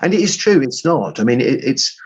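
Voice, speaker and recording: A man telling stories as an interview guest in a halting, rambling, self-deprecating way, on muffled audio. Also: medium pitch, big pitch swings, husky. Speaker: guest writer. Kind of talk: conversational interview storytelling.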